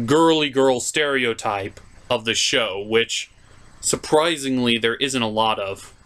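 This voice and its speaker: A man's voice: medium pitch, sharp tone. A man hosting a comedic cartoon review, talking through the episode as commentary.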